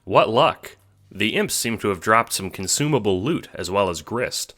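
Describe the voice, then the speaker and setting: A man narrating an audio drama in an expressive, scripted storytelling style, addressing the listener as the hero. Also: fairly low pitch, normal speed, a little hiss or hum. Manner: animated, expressive. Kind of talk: scripted second-person storytelling.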